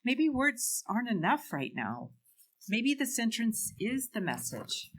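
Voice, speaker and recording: A woman playing a hopeful, faithful townswoman who answers gently and reassuringly in an amateur church dramatic reading. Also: on the high side, big pitch swings, a little slow, heavily acted.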